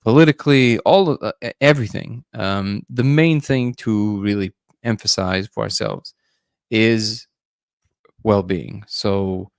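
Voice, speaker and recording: A man's voice: fairly low pitch, a little slow, creaky, smooth, dry recording, studio quality. A man hosting a casual livestream, musing loosely and greeting viewers by name. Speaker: livestream host.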